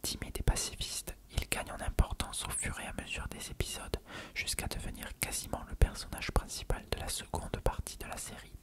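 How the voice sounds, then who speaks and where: Fully whispered, hushed ASMR reader. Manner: hushed, measured reading of an encyclopedia-style text.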